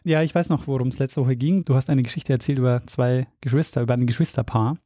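A man's voice: medium pitch, steady tone, quick pace, dark tone, no echo, clean studio sound. A man co-hosting a podcast in casual chat, teasing his partner and giving short replies. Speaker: podcast co-host.

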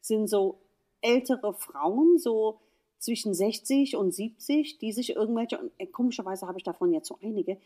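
A woman from the USA, a gynecologist speaking as a guest in a casual conversation. She is lively, laughs often and talks with many fillers. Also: mid-range voice, normal speed. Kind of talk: casual podcast chat.

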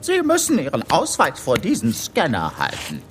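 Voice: medium pitch, wildly swooping pitch, normal speed, a little hiss or hum. An actor playing a cynical, scornful guide at a futuristic company in an audio drama, dry and disdainful. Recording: reverberant hall with faint music.

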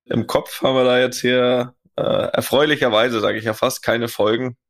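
A man co-hosting a casual podcast in a relaxed, teasing way, chatting and reading out listener mails. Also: medium pitch, normal speed. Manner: relaxed, teasing, laughs easily. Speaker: podcast co-host.

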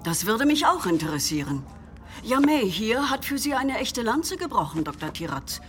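A woman's voice: on the high side, big pitch swings, normal speed, a bit bright. An actress playing a stern, expectant woman of authority in an audio drama.

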